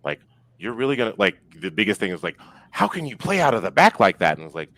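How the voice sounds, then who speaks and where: A man's voice: lowish voice, big pitch swings, quick pace, fairly bright tone. A man giving opinions in a casual sports discussion, very informal and peppered with filler words. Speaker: podcast guest.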